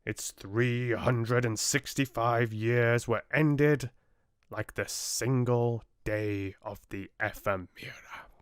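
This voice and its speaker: A man giving a measured, dramatic, expressive narration of a fairy tale audiobook. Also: mid-range voice, clean tone, no room sound.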